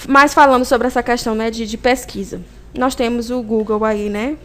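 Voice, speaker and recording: A woman with a high voice and a Brazilian Portuguese accent presents a video lesson. She explains in an informal, didactic way, posing questions and answering them herself, with frequent little tag questions to check that listeners follow. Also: steady tone, moderate pace, a bit bright. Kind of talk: informal recorded lecture.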